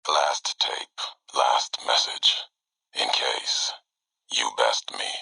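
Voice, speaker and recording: A grim, haunted wasteland wanderer from a video game, speaks in a slow, breathy rasp. The voice is extremely deep, and he sets out his solemn monologue in terse fragments with deliberate pauses. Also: fairly even intonation, sharp tone, no room sound, whispered, heavily acted, low-quality recording. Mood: weary, resolute. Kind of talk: dramatic video game monologue, recorded-log narration.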